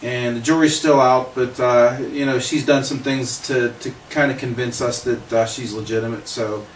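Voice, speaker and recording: An American man in his early forties talks casually to a camera, with an average-pitched voice. He sounds conversational and unscripted. The recording is roomy and picked up from a distance. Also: fairly even intonation, normal speed. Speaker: paranormal investigator. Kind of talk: casual spoken self-introduction to camera. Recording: echoey room, distant mic, faint noise.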